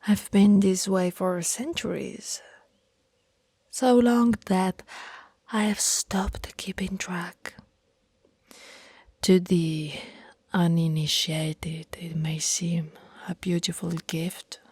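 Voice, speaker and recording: Ancient female vampire played by a woman, tender and mournful, low breathy whisper for ASMR roleplay, unhurried. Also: fairly even intonation, a little mellow, no echo, exaggerated voice. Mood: tender, melancholy. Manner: breathy, slow, archaic formal phrasing with sighs. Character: centuries-old lovestruck female vampire, sorrowful and tender.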